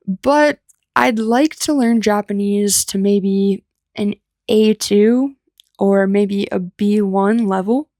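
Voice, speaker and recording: Slow-paced American woman, dry close sound. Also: mid-range voice, a little mellow, dry recording. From United States. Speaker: English teacher. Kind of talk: slow, simple personal storytelling for language learners.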